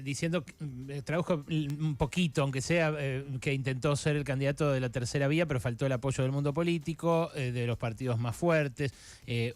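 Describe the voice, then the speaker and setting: A man hosting a radio interview, speaking haltingly with many filler sounds and restarts. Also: mid-range voice. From Argentina. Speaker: radio host.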